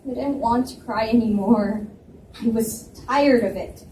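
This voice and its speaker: A woman giving an expressive first-person reading of a romance novel at a live event in a library. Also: a little high, a little slow, noisy background. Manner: expressive narration with dialogue. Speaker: reader, first-person narrator. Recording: echoey library room, mic at a distance.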